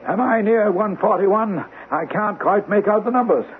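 An actor playing a courteous, reassuring doctor in a 1970s radio drama, with a polite, hesitant delivery. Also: male voice, high voice, normal speed, natural voice. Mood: courteous, concerned. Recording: noisy, tinny old radio broadcast recording.

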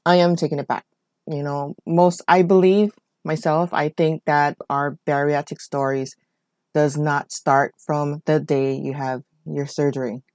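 A woman's voice: low voice, little pitch movement, unhurried, darkish tone, dry recording, clean studio sound. A woman, telling her life story as a podcast host in a rambling, conversational way. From Hawaii. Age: 40s. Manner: rambling, conversational, leans on "you know" and "whatnot". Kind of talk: personal storytelling monologue.

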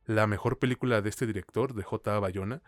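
Low-voiced Mexican man, dry close sound. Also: brisk, dry recording. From Latin America. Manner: slangy, colloquial. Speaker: podcast co-host reviewing films. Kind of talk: casual film review chat.

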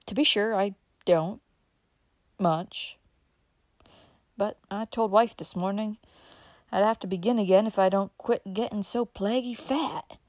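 A woman reading an audiobook voices a fat, folksy old country man who talks in rural dialect, loud and excitable. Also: mid-range voice, a little slow, pauses often, no echo, natural voice. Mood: folksy grumbling.

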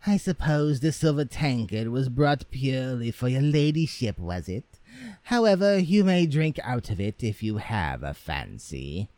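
A man's voice: mid-range voice, lively intonation, moderate pace, hardly any pauses, darkish tone, dry recording, voice pushed up, lightly acted. A man voicing a proud, rude young woman in a fairy tale, speaking with a haughty sneer.

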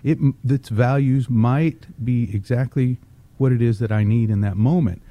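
A man from Texas, founder and CEO of an agency, giving measured interview answers with frequent ums. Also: medium pitch, dull audio. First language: English, also grew up with Spanish.

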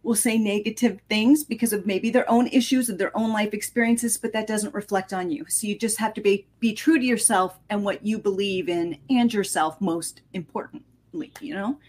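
A woman's voice: medium pitch, steady tone, moderate pace, darkish tone. A woman giving candid, thoughtful, reflective answers as a storyteller guest in an interview.

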